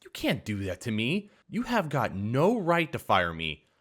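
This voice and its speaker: A man voicing an entitled, spiteful older woman server who scolds and rants loudly. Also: huge pitch swings, normal speed. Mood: shrill indignant. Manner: shrill, scolding, indignant.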